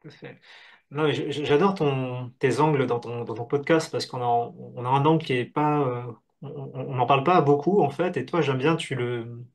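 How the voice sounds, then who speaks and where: A man hosting an interview, friendly and casual, with halting restarts. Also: medium pitch. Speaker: host, interviewer.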